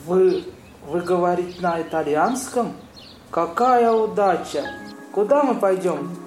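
High-pitched young gentleman played by a man, flustered and polite, halting foreign grammar, roomy audio-play sound. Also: faint music behind. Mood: delighted. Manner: halting, ungrammatical foreigner's speech. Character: courteous young Italian man, flustered, speaking broken Russian. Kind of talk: amateur audio play acting. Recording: roomy echo, noisy birdsong ambience.

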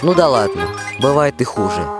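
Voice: mid-range voice, sing-song, moderate pace, natural voice. A performer playing a folk jester who narrates a rhymed radio fairy tale in a jaunty storytelling manner. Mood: jaunty, mocking. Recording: loud music behind the voice.

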